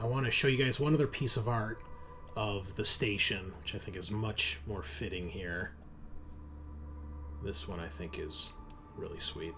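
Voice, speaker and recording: Game master, male: low voice, informal and chatty, faint room noise behind. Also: bright, forceful tone. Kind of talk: casual chat and narrating a tabletop game.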